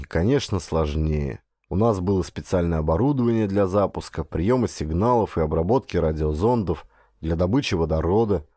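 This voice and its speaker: Expressive male audiobook narrator, lively pitch. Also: no echo, studio quality. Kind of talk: expressive scripted first-person narration.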